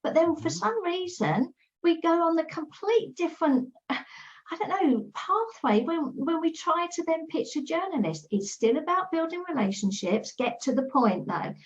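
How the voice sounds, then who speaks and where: A woman's voice: extremely high pitch, expressive, moderate pace, lo-fi sound. A woman talking as a guest expert, giving direct, practical PR advice in a casual chat and often repeating her points.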